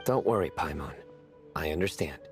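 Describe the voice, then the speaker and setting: A man voicing a composed, dryly teasing character in a video game, with an unhurried deadpan manner. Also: a bass voice, soft background music. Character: dry, composed male friend who loves terrible puns.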